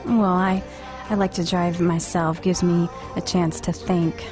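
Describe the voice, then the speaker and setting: A woman's voice: on the low side, level tone, unhurried, lightly acted. A woman playing an earnest, troubled TV star in an amateur audio play, hesitant and uneasy. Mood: uneasy, confused. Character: uneasy, bewildered TV star woman in surreal drama. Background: music.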